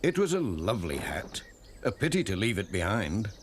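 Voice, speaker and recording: A man's voice: lowish voice, sing-song, moderate pace, background noise. A man with a British accent reading scripted narration for a children's story.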